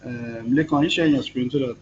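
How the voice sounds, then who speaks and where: A man's voice: medium pitch, a little fast, a little mellow, lo-fi sound, slight background noise. A male programming instructor with a cold, teaching a live online class and patiently spelling out terms step by step. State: has a cold.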